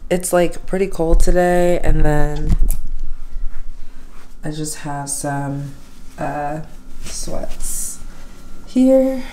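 A woman's voice: deep voice, very slow, long pauses, warm tone, slight background noise, low-quality recording. A young woman with a stuffy nose chatting casually through her day for a vlog, talking to a handheld camera in her apartment and car. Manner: chatty, rambling, with many fillers. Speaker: vlogger. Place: apartment and then a parked car. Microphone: handheld camera at arm's length.

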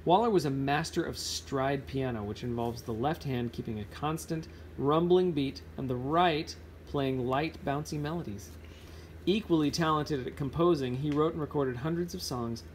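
A man with a slightly high voice reads a picture book aloud in an informal way. He sometimes trips on a word and fixes it as he goes. The amateur recording has faint background noise. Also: moderate pace. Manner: informal, stumbles over words and corrects himself. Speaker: read-aloud host. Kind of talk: reading a children's picture book aloud with casual asides.

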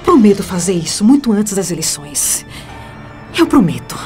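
A woman's voice: mid-range voice, very animated, moderate pace, mellow, soft background music. An actress with a Brazilian accent playing a proud, scheming first lady in melodramatic radio soap style. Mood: defeated, bargaining. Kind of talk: radio soap opera acting.

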